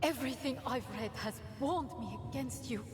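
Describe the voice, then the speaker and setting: A woman's voice: normal speed, natural voice. An actress playing a defensive but tempted mother and scholar in a game cutscene, faltering and yearning. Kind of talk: dramatic video game cutscene acting. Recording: echoing reverberant hall, faint rumble.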